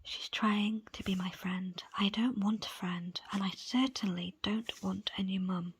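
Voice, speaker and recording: A woman reading a book aloud as ASMR in a soft, breathy, unvoiced whisper, with deliberate pauses between short phrases. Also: medium pitch, fairly even intonation, slight background noise. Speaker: audiobook reader. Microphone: close, dry microphone.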